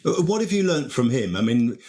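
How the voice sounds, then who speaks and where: A man hosts a podcast interview. He talks in an easy, chatty way and keeps the conversation going with quick questions and short affirmations. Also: medium pitch, big pitch swings, mellow. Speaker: podcast host. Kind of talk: casual interview.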